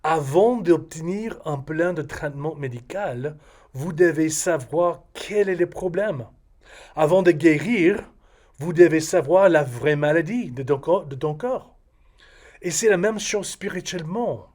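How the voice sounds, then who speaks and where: A middle-aged Texan man, a native English speaker, preaching slowly from a script in careful, halting French. His voice sits a bit high and he pauses often to find his words. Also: darkish tone. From Texas. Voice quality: halting non-native French with frequent hesitations. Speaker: pastor. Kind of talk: recorded video sermon read from a script.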